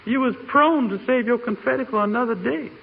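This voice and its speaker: A man's voice: very high voice, brisk, vocal fry. A man playing a hearty, giggly housemaid with folksy, ungrammatical talk in a 1940s radio comedy. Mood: earnest. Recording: noisy muffled 1940s radio recording.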